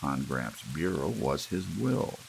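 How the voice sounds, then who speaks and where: A man narrating an audiobook in a hushed ASMR bedtime style. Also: rumbling low, slow pace, gravelly. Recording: steady rain and hiss behind. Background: soft rain.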